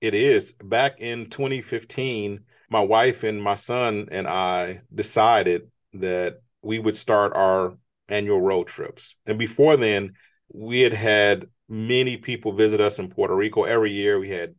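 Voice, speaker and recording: Low-voiced man, earnest and motivational. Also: fairly even intonation, measured pace. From United States. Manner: earnest, motivational, emphatic rises. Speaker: guest, business strategist. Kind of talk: conversational interview.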